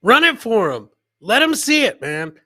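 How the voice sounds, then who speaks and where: A man's voice: very high-pitched, very animated, normal speed, bright, forceful tone, dry recording. A man hosting a live comedy clip show, bantering casually and jokily with his co-host and chat. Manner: jokey, addresses co-host and live chat by nickname.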